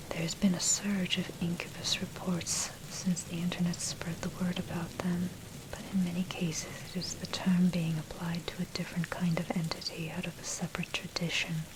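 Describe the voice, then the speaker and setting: Female ASMR narrator, breathy full whisper, flat intonation, articulate scripted read, noticeable rainy background noise. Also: on the low side. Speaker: narrator reading own dark history article. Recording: steady noise, rain and thunder behind. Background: rain and thunder.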